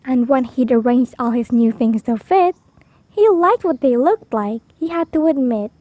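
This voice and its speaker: A woman with a high voice narrates a rhyming picture book for children. Her delivery is expressive and keeps a measured rhythm, and the sound is dry and close. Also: dry recording. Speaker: storybook reader for children. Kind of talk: read-aloud rhyming children's storytime narration.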